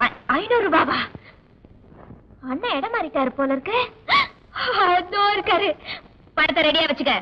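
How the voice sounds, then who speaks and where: Cheeky young prankster, very high-pitched, bright, teasing, faint hiss. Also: female voice, expressive, a little slow, long pauses, muffled recording. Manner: teasing, mock-apologetic, dramatic.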